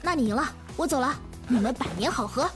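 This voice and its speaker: A dramatic young woman in a romance audio drama. She is pert and huffy, with a very high-pitched voice. Music is clearly heard behind her. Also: expressive, fast. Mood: flustered, huffy.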